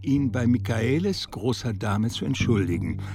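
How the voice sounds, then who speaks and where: A man reading literary narration in a German radio drama, in an expressive storytelling style. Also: medium pitch, normal speed, soft background music.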